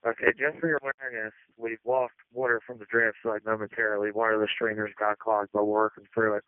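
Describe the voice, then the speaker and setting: Quick-talking man on fire radio. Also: fairly low pitch, little pitch movement, clear, resonant tone, dry recording. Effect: two-way radio. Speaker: fire officer. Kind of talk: conversational emergency radio traffic. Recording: tinny narrowband fire radio transmission.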